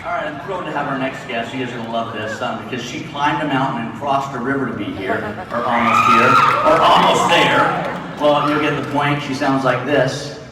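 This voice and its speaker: A man's voice: medium pitch, fairly quick, recorded from a distance. A middle-aged man hosting a panel, presenting guests from a stage in a large hall. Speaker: panel host. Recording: echoey hall, loud crowd and noise.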